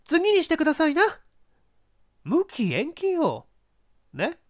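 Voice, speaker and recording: A man's voice: wildly swooping pitch, slow pace, full character voice. A man voicing a proud, mocking glamorous actress in a flippant, coquettish, feminine manner for a story reading. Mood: breezy, coaxing. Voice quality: mezzo-soprano pitch, feminine. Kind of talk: dramatic audiobook character reading.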